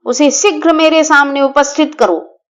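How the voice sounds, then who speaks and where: A woman voicing a bold, haughty rabbit envoy in a children's story, loudly issuing threats and orders in a harsh, shrill voice. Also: measured pace, muffled recording. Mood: commanding, threatening. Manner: loud, imperious proclaiming.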